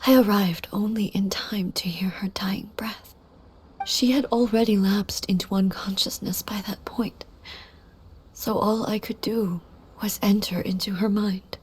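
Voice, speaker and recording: A woman playing a solemn, grieving immortal ruler in a video game, speaking formally and softly with measured composure. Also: mid-range voice, fairly even intonation, breathy edge. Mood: sorrowful. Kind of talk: dramatic video game voice acting.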